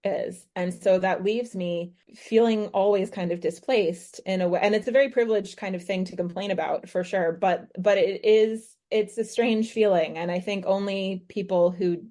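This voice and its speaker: A woman's voice: fairly low pitch, warm tone. An American woman in her thirties, a guest on a podcast, talking thoughtfully with frequent restarts. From United States. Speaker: guest, author and retreat host. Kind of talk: casual podcast interview. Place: her home in rural Ireland.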